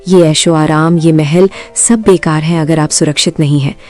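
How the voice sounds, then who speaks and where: A woman's voice: sits low, fairly even intonation, moderate pace, darkish tone, close to an everyday voice. A woman voicing a worried, fearful wife in a cartoon moral story. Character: anxious, fearful woodcutter's wife. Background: music.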